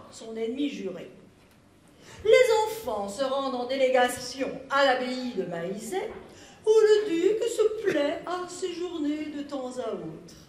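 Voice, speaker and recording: A woman narrating a scripted tale as a storyteller, reading expressively with the odd stumble. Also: sits high. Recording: roomy, distant mic, some background noise.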